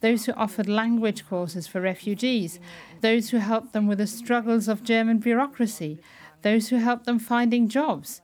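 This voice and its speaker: A woman's voice: fairly high pitch, fairly even intonation, normal speed, few pauses, a little mellow. A woman politician being interviewed, speaking earnestly and appreciatively.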